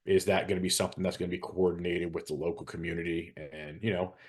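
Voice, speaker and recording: American male, very low register. Hazmat specialist on a panel, informal but measured and matter-of-fact. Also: flat delivery. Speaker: hazardous materials expert on a panel show. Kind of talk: informal explanatory discussion.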